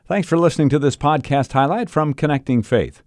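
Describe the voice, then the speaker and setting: A man's voice: a little high, a little fast, no room sound, clean studio sound. A man reading a scripted introduction and sign-off as the host of a radio show. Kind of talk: scripted programme intro and outro.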